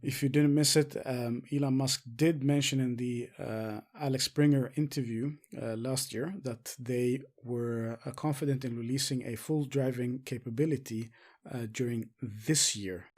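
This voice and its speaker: A man presenting investing commentary as a show host, speaking haltingly with frequent filled pauses. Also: mid-range voice, steady tone, darkish tone. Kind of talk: semi-scripted stock market commentary.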